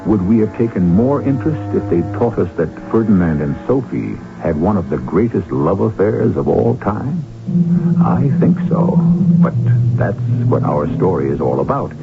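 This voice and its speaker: Very deep-voiced male radio drama host. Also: big pitch swings, moderate pace, gravelly. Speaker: host and narrator. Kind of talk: scripted radio drama narration. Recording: muffled phone-like old radio, music behind.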